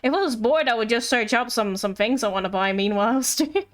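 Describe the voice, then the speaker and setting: A woman's voice: a little high, fast talker. A giggly, playful woman live streamer chatting casually while she plays games. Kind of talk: casual gaming stream chat. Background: music.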